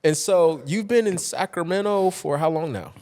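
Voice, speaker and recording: A man's voice: a little high, normal speed. Enthusiastic man hosting with a reverby sound. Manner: enthusiastic, laughs often, lively reactions. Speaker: podcast host. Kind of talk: casual interview conversation.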